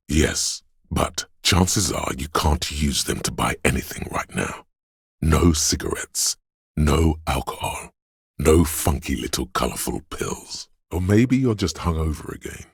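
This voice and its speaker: Seductive voice of addiction, rumbling extremely low, heavily rasping, sly, insinuating, goading, theatrical, video game hedonist. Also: male voice, no room sound, studio quality, exaggerated voice. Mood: exasperated. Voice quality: very deep, husky. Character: inner voice of addiction, a gleeful, seductive hedonist tempter. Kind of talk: video game character voice lines.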